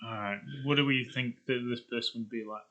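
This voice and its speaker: A man with a slightly congested voice chats casually as a channel host, thinking out loud about character voices. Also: mid-range voice, a little fast, highs cut off. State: slightly congested, sniffly nose.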